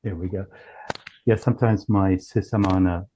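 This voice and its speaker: A man giving a casual software demonstration in a halting way, thinking aloud as he goes. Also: low-pitched, steady tone, a little hiss or hum. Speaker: company founder demonstrating software. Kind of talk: casual product demo.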